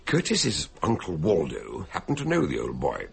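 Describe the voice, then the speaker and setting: An actor playing an elderly, eccentric detective doctor in a radio drama, genial and theatrical with a gravelly, husky voice. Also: male voice, extremely low pitch, huge pitch swings, moderate pace, exaggerated voice. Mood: genial, chatty. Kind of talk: radio drama acting and narration.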